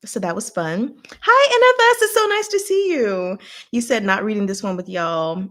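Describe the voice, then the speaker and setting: Female livestream host, medium pitch, informal and rambling, giggly, reacts with animation. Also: sing-song, normal speed, fairly bright tone. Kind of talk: rambling casual livestream chat.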